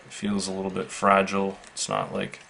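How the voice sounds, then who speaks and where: A man giving a casual, unscripted product demonstration in a matter-of-fact, halting, measured way. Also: sits low, completely flat delivery, gravelly, pushed and bright. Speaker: product reviewer. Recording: faint background noise.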